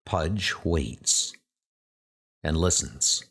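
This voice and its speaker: A man's voice: extremely low pitch, animated, drawn-out words, bright, forceful tone, slightly rough. A man narrating a horror comic story in a dramatic, scripted storytelling style. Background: music.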